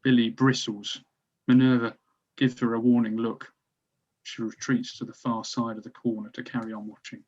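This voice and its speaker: A man's voice: mid-range voice, little pitch movement, normal speed, a little mellow. A man narrating scripted stage directions at a table read. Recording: slightly dull, lo-fi recording.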